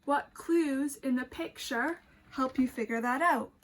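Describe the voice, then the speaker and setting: High-voiced woman teacher reading slowly. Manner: animated, emphatic, addressing children directly. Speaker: teacher reading a picture book to children. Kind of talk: expressive storybook read-aloud with questions for young children.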